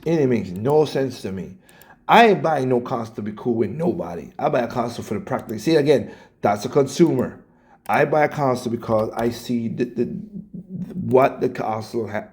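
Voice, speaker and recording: A man with a scratchy, hoarse voice and a dark, mellow tone rants casually, blunt and profane. He trips over his words and repeats himself as he goes. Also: medium pitch. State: hoarse, coughing. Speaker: commentator reacting to clips. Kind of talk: casual rant.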